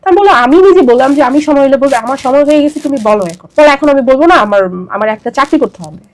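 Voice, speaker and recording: A woman's voice: sits high, lively intonation, very fast. A young woman with a Bangladeshi accent telling her personal story as an interview guest, emphatic and repetitive as she pours out her account.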